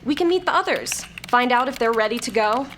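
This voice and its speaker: A bossy, sardonic older female programmer in an audio drama. The voice is fairly high, blunt and impatient, with faint noise and typing behind it. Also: lively intonation, a little fast, fairly bright tone. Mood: brisk, businesslike. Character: bitter, bossy elderly woman programmer, grimly sardonic. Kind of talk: scripted audio drama acting.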